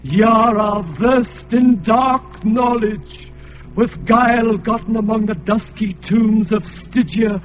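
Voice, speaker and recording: An ancient, blinded alien god in an old radio play. He sings out his grief in a high, slow and solemn chant, and faint music plays under him. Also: poor audio. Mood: sorrowful, solemn. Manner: slow, grave, chanting delivery. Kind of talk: radio drama acting.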